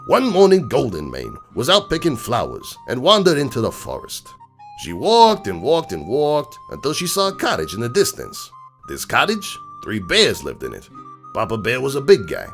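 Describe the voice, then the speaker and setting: A man playing a gruff, streetwise crook horse uncle who tells bedtime stories in a slangy, cynical, digressing way. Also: medium pitch. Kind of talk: dramatic reading of a comic bedtime story. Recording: music bed behind the voice.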